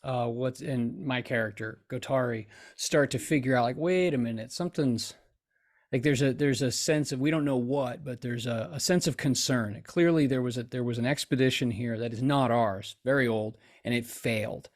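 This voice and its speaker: A middle-aged man playing a tabletop game, casually reasoning aloud with halting restarts. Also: medium pitch, fairly even intonation. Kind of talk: casual improvised game discussion. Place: indoors on a video call.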